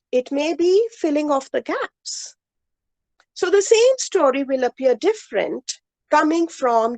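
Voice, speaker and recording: A middle-aged woman with an Indian English accent, a professor giving a reflective, measured talk with pauses and fillers, sometimes slipping into Hindi. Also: sits high, a little mellow, dry recording. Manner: switches into Hindi mid-sentence. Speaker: professor giving a guest lecture. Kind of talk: academic talk on storytelling.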